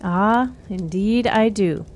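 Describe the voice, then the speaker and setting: An actress as a sorrowful witch of a fantasy radio drama, speaking in measured, archaic riddles at a middle pitch. She sounds knowing and grave, with some noise behind her. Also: darkish tone, lightly acted. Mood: sorrowful, calm. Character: cryptic, sorrowful wise woman, a witch who counsels youths.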